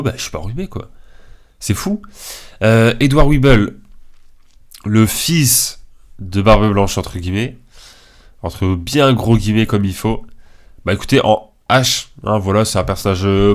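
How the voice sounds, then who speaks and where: Young man, lowish pitch, stuffy with a cold, casual video creator commentary, slangy and hesitant. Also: moderate pace, pauses often. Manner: informal, slangy, hesitant delivery. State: congested from a cold. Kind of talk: casual tier list commentary.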